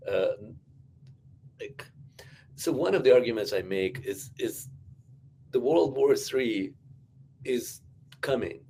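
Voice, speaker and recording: A man's voice: lowish voice, darkish tone, slight background noise. A man from Ukraine whose first language is Russian, speaking earnestly in a casual panel discussion, with frequent restarts and emphatic claims. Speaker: podcast guest, academic.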